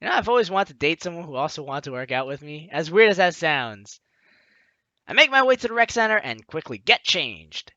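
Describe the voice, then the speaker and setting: A man's voice: fairly high pitch, very animated, brisk, sharp tone, no room sound, lo-fi sound. A young man hosting a game playthrough, reading dialogue aloud and commenting in a playful, chatty, dramatic way. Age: young adult. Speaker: let's player hosting a game series.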